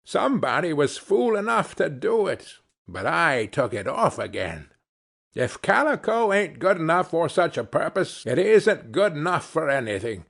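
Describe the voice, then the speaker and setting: A man's voice: sing-song, a little slow, vocal fry, a bit bright, exaggerated voice. A man voicing a coarse, greedy woman who cackles and mocks. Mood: mocking. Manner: shrill cackling laughter. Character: coarse scheming old charwoman, mocking and greedy.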